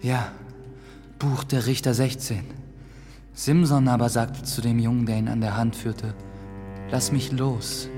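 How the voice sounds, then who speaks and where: A man's voice: medium pitch, fairly even intonation, unhurried, long pauses, dark tone, faint music behind, natural voice. A man playing an earnest, intense young clergyman in a radio drama. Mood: grave, hushed.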